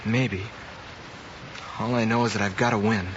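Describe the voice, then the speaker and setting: A young man acting a studious, cocky high school boy who hides his self-doubt, in 1950s radio drama style. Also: steady tone, normal speed, lightly acted. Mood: anxious, confiding. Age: young adult. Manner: brash confidence hiding self-doubt. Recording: muffled, very noisy old radio recording.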